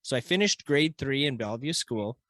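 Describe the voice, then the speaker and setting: A man's voice: mid-range voice, expressive, moderate pace, fairly bright tone, dry recording. A man hosting a podcast, chatting casually and rambling with lots of fillers. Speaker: podcast host. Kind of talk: casual chat.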